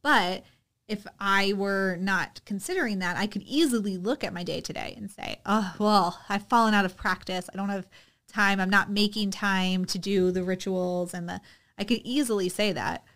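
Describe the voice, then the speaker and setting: Woman podcast co-host, casual chat, unhurried and reflective, easygoing. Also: mid-range voice. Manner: relaxed, reflective, hesitant with many fillers.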